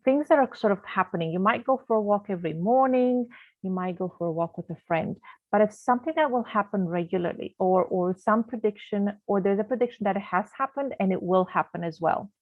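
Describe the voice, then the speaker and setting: A woman's voice: medium pitch, brisk, no room sound. A woman who grew up in Canada, learned English as a second language, and teaches grammar in a patient, explanatory way with many fillers and restarts, speaking on a video call. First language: not English. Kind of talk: online grammar lesson. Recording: tinny phone-like call audio.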